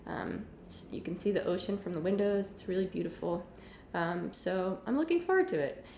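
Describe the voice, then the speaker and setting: Hoarse young woman, gravelly and roomy. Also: on the low side, moderate pace, slight background noise. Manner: relaxed chatty with many ums, coughs now and then. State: hoarse from illness. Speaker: music teacher. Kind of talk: casual online lesson. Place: home room with piano and guitars.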